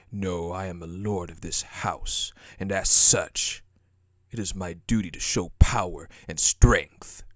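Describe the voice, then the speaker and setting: A man's voice: deep voice, dry recording, studio quality, exaggerated voice. A man playing a haughty, power-hungry prince in a dramatic audio roleplay, with a contemptuous, menacing and formal delivery. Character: cold, haughty, power-hungry prince who scorns weakness.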